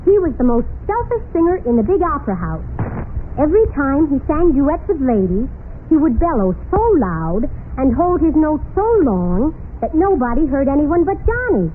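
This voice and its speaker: High, lilting 1930s radio storyteller. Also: female voice, very animated, normal speed. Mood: playful storytelling. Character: storytelling lady humoring a little boy. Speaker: guest performer telling a story. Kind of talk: 1930s radio acting, bedtime-story narration. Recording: tinny, noisy 1930s radio broadcast.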